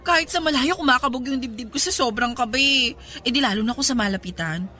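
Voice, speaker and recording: A woman's voice: sits high, fast talker, pushed and bright. A woman playing a cheeky, lovestruck young Filipino student in a radio drama, flustered and giggly. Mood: giddy. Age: young adult. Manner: flustered, stammering when nervous, giggly. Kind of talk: Tagalog radio drama acting.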